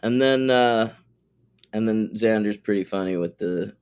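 A man's voice: lowish voice, a little slow, a little mellow, clean tone. A man co-hosting a podcast, bantering informally and conversationally about TV episodes. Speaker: podcast co-host.

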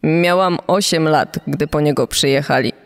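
A man's voice: on the high side, moderate pace, close to an everyday voice. A man reading out the recollections of an elderly woman as a documentary witness. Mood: solemn recollection. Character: elderly woman recalling her segregated childhood. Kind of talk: acted reading of a witness quote. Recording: long reverberant echo.

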